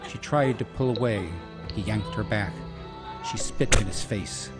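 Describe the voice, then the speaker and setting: A male narrator reads a scripted story for an audio drama. The voice sits fairly low, and the telling is dramatic. Music plays clearly behind it. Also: a little slow.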